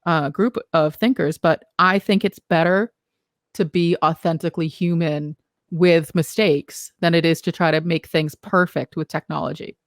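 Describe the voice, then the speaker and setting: A woman's voice: low-pitched, fairly even intonation, normal speed, no echo, studio quality. A woman co-hosting a podcast in casual conversation, practical and questioning, with a lot of "you know.". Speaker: podcast co-host.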